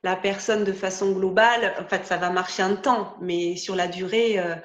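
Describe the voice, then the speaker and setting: A woman's voice: medium pitch, fairly even intonation, moderate pace, roomy sound. A woman hosting a podcast video interview, chatty and hesitant, with many fillers and restarts.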